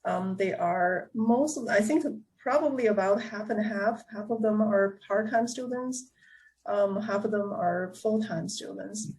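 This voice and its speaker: A woman's voice: mid-range voice, measured pace, darkish tone, rough recording. An American woman, a community college engineering instructor, talking over a video call with frequent ums and restarts. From United States. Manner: hesitant, many ums and restarts.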